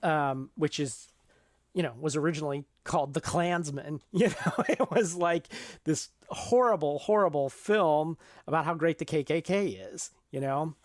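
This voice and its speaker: A man with a slightly high voice speaks as a podcast co-host, recorded very dry. His delivery is conversational and explanatory, in a measured, informal style. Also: no room sound. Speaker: podcast co-host discussing a film. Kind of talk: casual discussion.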